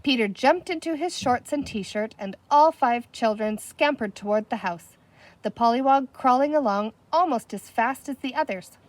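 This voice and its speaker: A woman narrating a children's storybook in an expressive, scripted read-aloud style. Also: on the high side, moderate pace, no room sound. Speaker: storybook reader.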